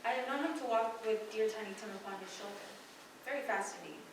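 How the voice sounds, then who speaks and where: Teen girl as working-class woman, everyday higher voice, radio play, far from mic with noise behind. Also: quick pace, lightly acted. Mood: gentle, subdued. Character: plain working-class women: charwoman, gentle mother. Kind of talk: student radio play acting. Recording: distant echoey room, clear background noise.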